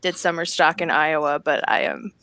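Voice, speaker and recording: A woman's voice: low voice, fairly even intonation, normal speed, sharp tone, no room sound. A woman from Massachusetts, an actress, chatting easily in a casual interview over a video call.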